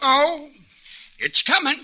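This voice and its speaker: An actor playing a laconic rustic well digger in a 1940s radio play, drawling slow short replies. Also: male voice, extremely high pitch, big pitch swings, pushed and bright, full character voice.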